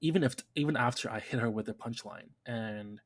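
A man's voice: mid-range voice, fairly quick. A young man chats casually on a podcast, trailing off and restarting as he goes. His delivery is jokey and laid-back, with plenty of fillers. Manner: joking, rambling, lots of fillers. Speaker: podcast co-host.

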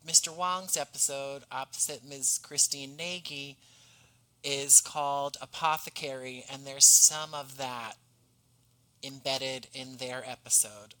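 A man speaking as an interview guest, explaining his creative work in measured, rather formal and articulate phrasing. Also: medium pitch, sharp tone, low-quality recording. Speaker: podcast guest, audio drama writer.

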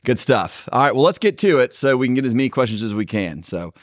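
A man's voice: mid-range voice, sing-song, fast, no room sound, clean studio sound. A man hosting a casual podcast, talking in a loose, rambling way with fillers and restarts. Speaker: podcast host.

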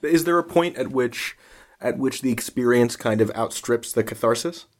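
A man's voice: medium pitch, moderate pace. A man hosting a conversational interview, asking questions and often repeating his opening words as he starts. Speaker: podcast host, interviewer.

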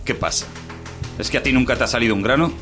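An actor playing a vain, short-tempered working-class husband, defensive and domineering, in an audio drama. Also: male voice, medium pitch, fast, fairly bright tone, soft background music, lightly acted. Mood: irritated.

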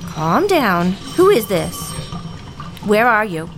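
An actress playing a businesslike police dispatcher in an audio drama, speaking in a controlled, official manner. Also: female voice, mid-range voice, big pitch swings, slow, music in the background. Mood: brisk, official. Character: businesslike female police dispatcher taking emergency call.

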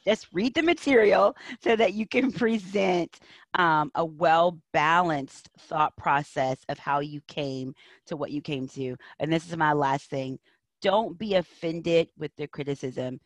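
A woman's voice: deep voice, a little slow, no room sound. A woman speaking as an interview guest, a counselor and educator, giving earnest, emphatic advice in reflective answers.

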